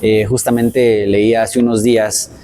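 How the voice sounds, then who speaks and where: A man hosting an interview, asking thoughtful questions in a relaxed, conversational way. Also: on the low side, measured pace, a little hiss or hum. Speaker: interviewer, podcast host.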